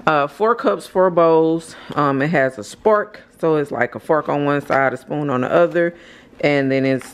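An American woman in her thirties casually showing off a shopping haul from behind a handheld camera at home. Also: sits low. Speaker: vlogger. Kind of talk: casual haul show-and-tell. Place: indoors at home. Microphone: handheld camera, speaker behind it. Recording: clear background noise and handling clicks.

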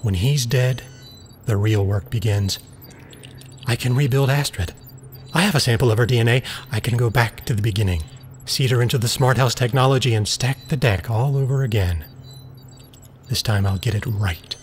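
An actor playing an obsessed, ruthless scientist in an audio drama, commanding and insistent. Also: male voice, medium pitch, moderate pace, a little hiss or hum, close to an everyday voice. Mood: grim obsessed. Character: obsessed, ruthless man scientist, bent on vengeance.

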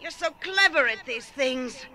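A woman's voice: high-pitched, animated, unhurried, bright, forceful tone, exaggerated voice. A woman playing a flustered, chatty, scatterbrained old supernatural lady in an audio drama, fussy and fluttery.

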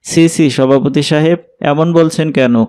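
Clear-voiced, polite young imam played by a man. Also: on the high side, fairly even intonation, a little slow, a little mellow, natural voice. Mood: modest, agreeable. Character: polite, pious, earnest young male imam. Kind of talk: cartoon drama dialogue.

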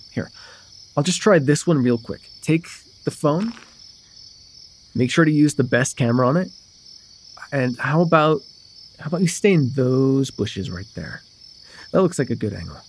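A young man voicing a casual, mischievous vampire chatting informally and haltingly in an immersive roleplay. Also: medium pitch, vocal fry, breathy edge. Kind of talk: immersive ASMR roleplay voice acting.

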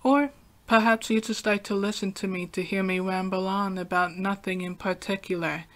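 A young adult woman hosting a podcast, talking through a calm, soft-spoken, reflective solo monologue. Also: mid-range voice, normal speed. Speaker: podcast host.

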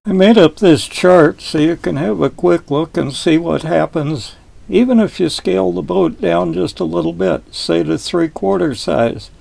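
An older man with a folksy, deliberate manner, explaining boat design in a wood-panelled cabin, filmed from a fixed camera across a table. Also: on the high side, vocal fry, a little mellow, dry recording. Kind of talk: explanatory video lesson.

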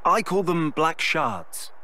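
Polite, scholarly inventor, lively pitch. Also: male voice, heavily acted. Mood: calm, explanatory. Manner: polite, measured, explanatory. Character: composed, scholarly young male inventor and leader. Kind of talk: video game cutscene acting.

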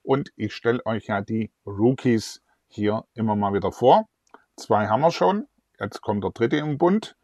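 Middle-aged host, rambling and friendly, dry lapel sound. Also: male voice, lowish voice, animated, a little slow, a little mellow, no room sound. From southwest Germany. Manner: chatty, upbeat, rambling. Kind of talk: online celebrity interview. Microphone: lapel mic.

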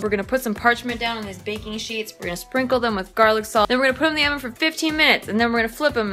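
A young woman talks to a handheld camera in her kitchen with a bright, clear tone. She jokes around and drops the odd swear word in a casual, chatty way. Faint music plays underneath. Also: mid-range voice. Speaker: vlogger. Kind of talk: casual cooking vlog. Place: home kitchen. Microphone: handheld phone camera at arm's length.

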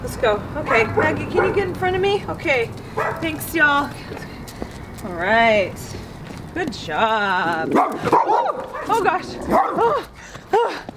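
A woman's voice: sits high, huge pitch swings, slow pace, heavy background noise, full character voice. A woman playing an eager, easily flustered dog walker who chatters and exclaims in a naturalistic comedy-drama style. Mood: flustered, exasperated.

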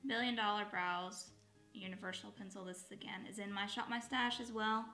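A woman in her thirties with a slightly raspy, dry voice, chatting in a rambling way while doing her makeup at home, often correcting herself. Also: mid-range voice, steady tone, moderate pace, pushed and bright, faint music behind. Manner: rambling, self-correcting, with asides. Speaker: beauty vlogger. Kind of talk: chatty get-ready-with-me. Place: bedroom at home, indoors. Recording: echoey home room.

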